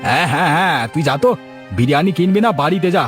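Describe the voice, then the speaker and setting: Casting: man to play cocky village food-stall husband for cartoon comedy, quick, scornful, highish male pitch. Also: faint music behind, lightly acted. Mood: scornful, irritated. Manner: boastful, scornful, emphatic. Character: boastful, stubborn village biryani cook and stall owner, husband.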